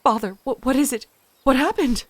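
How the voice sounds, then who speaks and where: Woman playing a high-voiced, anxious young daughter. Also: moderate pace. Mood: alarmed, fearful. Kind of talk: old-time radio sci-fi drama acting.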